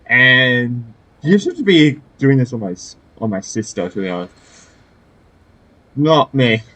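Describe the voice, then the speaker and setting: Guy playing a video game and chatting over it, husky, pitch jumps around a lot, repeats himself. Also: expressive, bright, forceful tone. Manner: halting, repeats phrases, casual swearing. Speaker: gaming video host. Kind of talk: casual let's play commentary.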